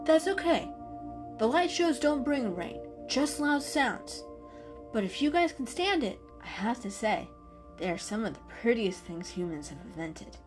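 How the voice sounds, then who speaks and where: A woman's voice: on the high side, very animated, a little slow, music in the background, voice pushed up. A woman voicing a friendly, reassuring grown male cat who chats and explains kindly in a storybook reading. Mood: cheerful, helpful.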